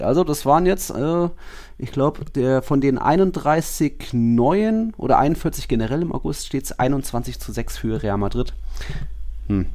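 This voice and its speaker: A man's voice: mid-range voice, mellow. A man chatting casually as a sports podcast co-host, with a conversational, lightly teasing way of talking.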